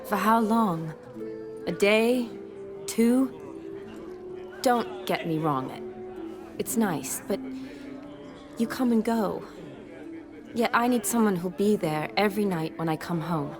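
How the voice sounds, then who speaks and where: A woman's voice: medium pitch, takes it slowly, long pauses, lightly acted. An actress as a wistful, wry woman medic over music. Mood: melancholy. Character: wry, wistful young female medic, affectionate and frank. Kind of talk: video game dramatic acting.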